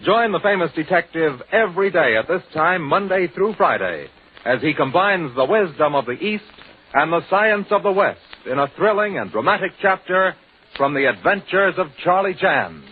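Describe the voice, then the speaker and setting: An American male announcer reads scripted narration in declamatory 1940s radio style. His voice is a touch high and formally emphatic. The recording is thin and tinny with faint hiss behind it. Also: big pitch swings, normal speed.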